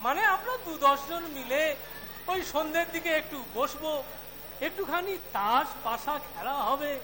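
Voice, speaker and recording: A man's voice: very high voice, lively intonation, normal speed, sharp tone, heavily acted. A man acting a dandyish, ingratiating middle-aged neighbour, in an amateur audio drama. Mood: wheedling, flattering. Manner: hesitant, ingratiating. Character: dandyish, wheedling middle-aged male neighbour, quick to take offence. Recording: echoing hall, faint hiss or hum.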